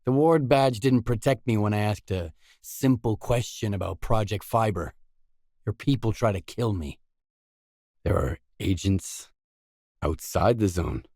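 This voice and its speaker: An actor playing a determined, guarded stalker in a video game, speaking in a terse, businesslike manner. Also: male voice, lowish voice, expressive, measured pace, darkish tone, dry recording, clean studio sound. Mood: firm, suspicious. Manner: terse, businesslike, probing questions. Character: gruff, guarded, determined stalker, a man roaming a hazardous zone.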